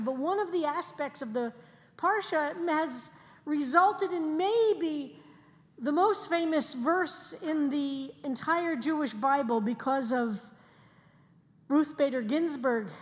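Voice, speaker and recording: Woman in her 60s preaching in a reverberant hall. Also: sits high. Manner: earnest, slows down to stress key phrases. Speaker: rabbi. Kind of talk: sermon to a congregation. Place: synagogue sanctuary, livestreamed service.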